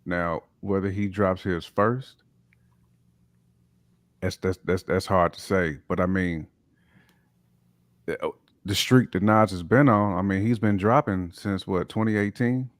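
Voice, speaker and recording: American dude, low voice, slangy panel talk, repeats his point, dry close sound. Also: a little slow, long pauses, no echo. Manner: slangy, emphatic, repeats himself. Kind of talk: casual opinionated panel chat.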